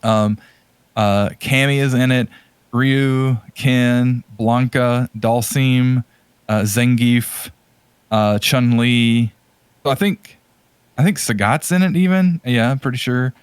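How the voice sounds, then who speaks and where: A man chats casually as a podcast co-host in a mid-pitched voice. He rambles, backtracking and correcting himself as he thinks aloud. The sound is dry, with very little room echo. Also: slow pace, clean tone. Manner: rambling, hesitant, self-correcting. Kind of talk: casual podcast chat about movies.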